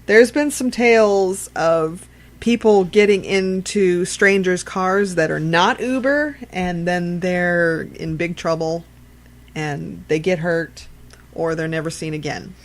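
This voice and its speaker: Low-voiced woman giving earnest advice. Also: takes it slowly. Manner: earnest, explaining safety tips at length. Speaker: podcast co-host. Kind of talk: casual chat.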